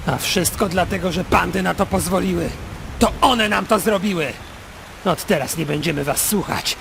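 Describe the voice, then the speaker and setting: A man's voice: high voice, normal speed, gravelly, fairly bright tone, slight background noise. A man voicing a bitter, menacing monkey leader who speaks accusingly in an audio drama.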